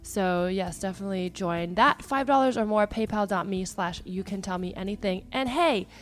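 A hoarse woman hosts a podcast, talking in a loose, upbeat way full of fillers. Her voice is worn and raspy after travel, and her pitch sits in an ordinary middle range. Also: moderate pace. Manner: chatty and upbeat, many fillers. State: hoarse, partly lost voice. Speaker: podcast host. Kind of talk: casual podcast chat.

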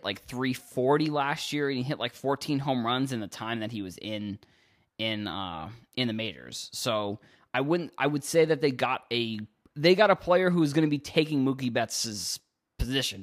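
A man's voice: medium pitch, fairly bright tone. A young man from Cape Cod, hosting a casual solo sports podcast, rambling and restarting his sentences. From Cape Cod, Massachusetts. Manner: rambling, restarts sentences, stumbles over words. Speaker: podcast host.

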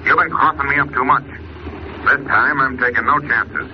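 An actor playing a cocky, menacing racketeer making threats in an old radio drama, curt and threatening. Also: male voice, medium pitch, fairly even intonation, moderate pace, close to an everyday voice. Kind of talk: 1940s radio acting. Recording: dull, faintly noisy old radio recording.

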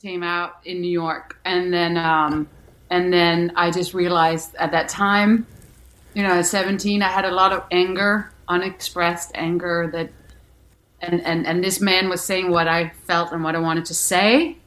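A woman's voice: on the low side, level tone, a little slow, fairly bright tone. A woman from Denmark, an actress and musician, answering interview questions candidly with many restarts and fillers.

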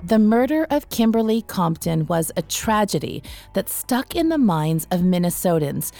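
Gravelly, mellow woman co-hosting. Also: fairly low pitch, sing-song, normal speed. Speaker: podcast co-host. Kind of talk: scripted podcast introduction. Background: music.

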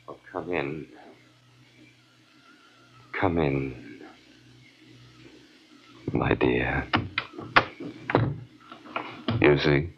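Deep-voiced, wistful last man on earth. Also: slight background noise, exaggerated voice. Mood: wistful narrating. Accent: American. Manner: hesitant, repeats words, coaxing. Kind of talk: 1950s radio drama acting. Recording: dull, lo-fi old radio recording.

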